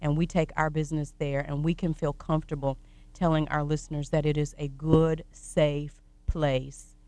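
A radio show host with a mid-pitched, smooth voice interviews a guest. The host affirms often and talks in a conversational way. The sound is close and dry. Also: level tone, normal speed, darkish tone, no echo. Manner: affirming, frequent backchannel.

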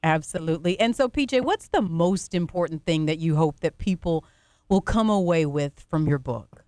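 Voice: mid-range voice, animated, moderate pace, darkish tone, no room sound. A radio interview host who asks questions and affirms the guest often. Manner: affirming, frequent backchannel.

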